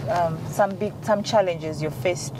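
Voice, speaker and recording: Woman host asking over road noise. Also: unhurried. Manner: short prompts and soft backchannels. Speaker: host, driving. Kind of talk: casual interview in a car. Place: driver's seat of a car. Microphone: clip-on lapel mic. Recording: loud car road noise. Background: engine and road rumble.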